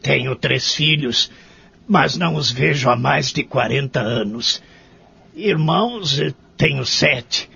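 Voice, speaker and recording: A man acting a lonely, remorseful old man in a care home in a radio drama, with a gravelly, husky voice and a Brazilian accent. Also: mid-range voice, slow, bright, forceful tone, exaggerated voice. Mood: hesitant, reserved. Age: older. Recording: muffled, lo-fi audio drama recording.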